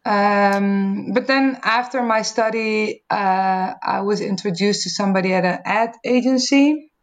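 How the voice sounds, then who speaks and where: A woman's voice: medium pitch, unhurried. A woman with a Dutch accent answering interview questions, often repeating words as she thinks. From Netherlands. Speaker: marketing executive guest.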